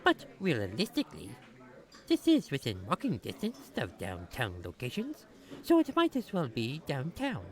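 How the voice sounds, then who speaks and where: A woman's voice: very deep voice, huge pitch swings, moderate pace, darkish tone, exaggerated voice. A woman playing a sensible, articulate, somewhat pedantic detective partner in a comic audio drama. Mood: matter-of-fact.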